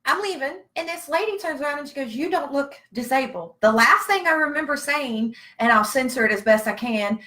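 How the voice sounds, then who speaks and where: A woman's voice: high-pitched, animated, a little fast, few pauses, pushed and bright. A woman from Virginia with a Southern US accent, chatting and telling stories in a blunt, folksy way. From Virginia, United States. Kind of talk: casual chat, storytelling.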